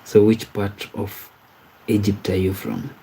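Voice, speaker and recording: A man from Kenya hosting a casual livestream, reading viewer comments aloud and answering them in a halting way, with frequent repeats and restarts. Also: lowish voice, level tone, vocal fry, warm tone, breathy edge, rough recording. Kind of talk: casual live-stream chat.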